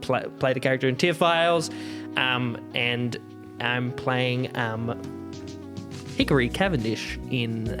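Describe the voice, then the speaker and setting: A man's voice: mid-range voice, slow, over music. A man introducing himself casually as a podcast cast member in a hesitant, understated way.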